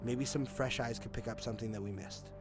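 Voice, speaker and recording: An actor playing an authoritative, earnest police detective in an audio drama, with a probing manner. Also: male voice, medium pitch, steady tone, a little fast, over music, lightly acted. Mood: firm, in charge. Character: male police detective, Hazel's superior and former partner.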